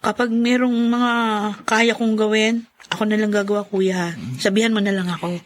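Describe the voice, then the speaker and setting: A woman plays a soft-hearted younger sister in a radio play, with a Filipino accent. Her medium-pitched voice is caring and concerned, gently urging. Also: little pitch movement, normal speed, close to an everyday voice. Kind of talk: radio drama acting.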